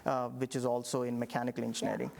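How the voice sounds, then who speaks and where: Man, Indian accent, middle-aged professor, reflective storytelling, ums and restarts, mid-pitched voice. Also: monotone, quick pace, vocal fry, a little mellow. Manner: reflective, many fillers and restarts. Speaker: engineering professor. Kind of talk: interview storytelling.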